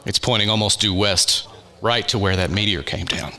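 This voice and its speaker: An actor playing a level-headed, authoritative scientist in a radio drama, explaining things deliberately. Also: male voice, fairly low pitch, pushed and bright. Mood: composed.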